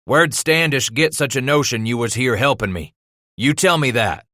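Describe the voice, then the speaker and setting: A man voicing an angry, domineering frontier father in an audiobook, with gruff, stern delivery and rustic, folksy speech. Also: lowish voice, normal speed, bright, forceful tone, dry recording, studio quality. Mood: angry, accusing.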